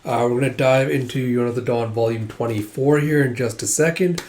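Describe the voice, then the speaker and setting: A man with a hoarse voice from a cold, hosting a manga read-through channel and chatting casually, rambling with many fillers. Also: medium pitch, brisk, a little mellow, a little hiss or hum. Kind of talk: casual chat to camera. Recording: roomy echo.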